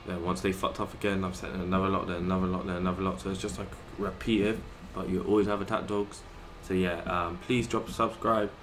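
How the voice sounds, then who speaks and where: A young man talks loosely and casually, swearing as he explains his gameplay. His voice sits low and stays flat, with little rise or fall. A faint hiss runs under him. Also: fast, few pauses. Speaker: gaming YouTuber. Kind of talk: casual voice-over commentary.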